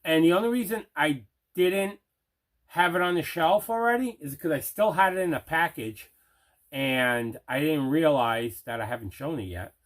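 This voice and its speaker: A man's voice: a little high, animated, moderate pace. A man hosting a casual unboxing video as a collector, talking in an enthusiastic, conversational way. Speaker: movie collector, video host.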